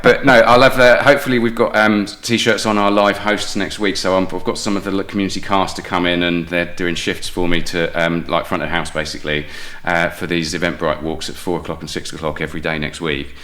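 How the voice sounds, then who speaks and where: Low, bright-voiced British man. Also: little pitch movement, fairly quick, hardly any pauses. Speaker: podcast guest, playwright. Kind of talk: casual chat.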